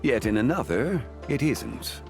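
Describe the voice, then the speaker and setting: An actor playing a calm, dignified ancient god in a video game, speaking in a measured, solemn and formal manner. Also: male voice, medium pitch. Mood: thoughtful, composed. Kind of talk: scripted video game voice acting. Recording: music under the voice.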